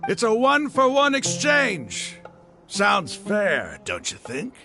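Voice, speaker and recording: An actor voicing a proud, devout desert mercenary leader in a video game, talking with fervent zeal. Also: male voice, high-pitched, huge pitch swings, slow, gravelly, pushed and bright, heavily acted. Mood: haggling. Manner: fervent, preaching. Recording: game audio with faint music.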